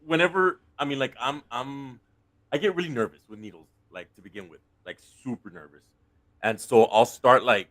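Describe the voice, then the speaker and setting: A man's voice: medium pitch, normal speed, a bit bright. A man co-hosting a podcast, chatting casually with jokes and plenty of swearing. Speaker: podcast co-host. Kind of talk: casual, joking, profane chat.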